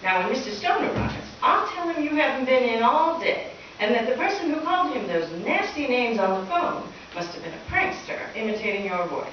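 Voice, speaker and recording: A woman's voice: high-pitched, very animated, fairly quick, few pauses. A woman playing a wry, exasperated schoolteacher with theatrical delivery in a comic live radio play. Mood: wry disbelief. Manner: dry sarcasm, theatrical alarm. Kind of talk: comic old-time radio play acting. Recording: echoey hall from far off, dull, hissy.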